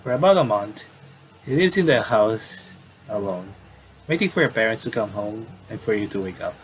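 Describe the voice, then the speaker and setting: A man doing casual game commentary and reading story text aloud, haltingly and with repeated words. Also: fairly low pitch, lively intonation. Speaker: gaming video commentator. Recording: clearly noisy home recording.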